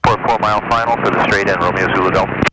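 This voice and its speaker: A man's voice: lowish voice, steady tone, rapid-fire. A man flying as a pilot, giving position reports and readbacks to the tower over aircraft radio. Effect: aviation radio. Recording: very noisy cockpit radio audio.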